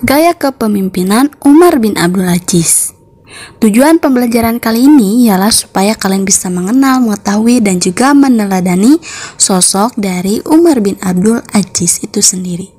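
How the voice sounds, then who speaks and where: A young woman teaches a school lesson in a slightly breathy, husky voice. She reads her material in an orderly, instructional way, point by point, for schoolchildren. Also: medium pitch, expressive, moderate pace, dark tone. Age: young adult. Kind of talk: scripted lesson narration for schoolchildren.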